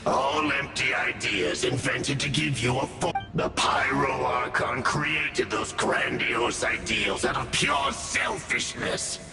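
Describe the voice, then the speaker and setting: An actor playing a menacing, grandiose fiery villain in a video game, theatrical and full of contempt. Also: male voice, medium pitch, lively intonation, slow pace, creaky, hardly any pauses. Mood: scornful taunting. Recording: heavily echoing voice over music.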